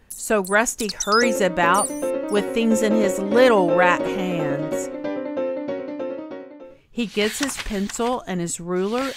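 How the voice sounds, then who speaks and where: Slow-paced woman reading a rhyming children's story. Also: lowish voice. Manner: expressive, sing-song rhyming delivery. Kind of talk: rhyming storybook read-aloud. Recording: music playing behind the voice. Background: clear background music.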